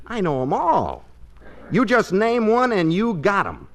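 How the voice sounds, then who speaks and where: A man's voice: high-pitched, huge pitch swings, measured pace, full character voice. An actor playing a brash small-time con man with an American accent, all glib sales patter in 1940s radio comedy style. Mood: boastful. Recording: old radio recording with hiss and clicks.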